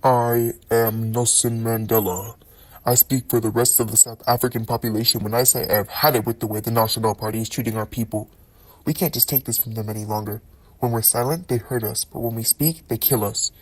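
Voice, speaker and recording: A man's voice: medium pitch, fairly even intonation, brisk, a little mellow, natural voice, poor audio. A man playing a resolute freedom fighter leader in an amateur puppet show, speaking in a declamatory, defiant way. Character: resolute male freedom fighter leader rallying his followers.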